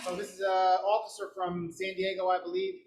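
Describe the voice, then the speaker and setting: A man's voice: sits high, level tone, a little fast, noisy background. A man, a police sergeant from New Jersey, giving a plain-spoken presentation into a microphone at a public meeting, checking in with "okay?". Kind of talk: public presentation to a school board. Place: meeting room. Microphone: handheld microphone.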